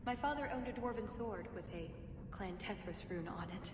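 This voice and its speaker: A woman's voice: medium pitch, normal speed, close to an everyday voice. An actress playing a cheeky, self-assured female spy with a breezy, wry manner in a video game. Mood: wry banter. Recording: reverberant hall, noisy ambience behind.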